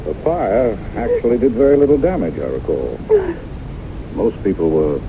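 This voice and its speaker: An actor playing a reserved, sympathetic man, soft-spoken and hesitant, in a radio drama. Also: on the low side, big pitch swings, normal speed. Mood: sympathetic, measured. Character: reserved, sympathetic male listener. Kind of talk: 1970s radio drama acting. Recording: muffled noisy old radio recording.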